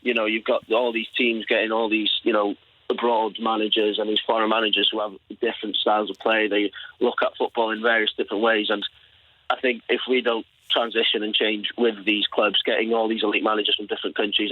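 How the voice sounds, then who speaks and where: A young man from Wales, Welsh his first language, rings in over a thin, tinny phone line. His voice sits low and a little husky, and he talks earnestly, restarting his sentences often. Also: level tone, fairly quick, no room sound. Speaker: caller. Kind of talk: phone-in football chat.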